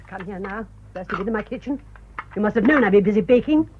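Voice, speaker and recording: A woman's voice: medium pitch, fast talker, slight background noise, natural voice. A British actress playing an older tea-shop woman in a radio drama, nervous, resentful and overwrought. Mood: resentful, flustered. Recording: muffled, dull radio drama recording.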